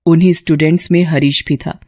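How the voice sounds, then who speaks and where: A woman narrating a scripted bedtime story in a steady, even manner. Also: deep voice, a little fast, dry recording.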